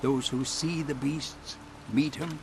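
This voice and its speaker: A man's voice: medium pitch, steady tone, takes it slowly, background noise, close to an everyday voice. A man voicing a grim, plain-spoken seafaring villager in a fantasy video game, grave and folksy in manner.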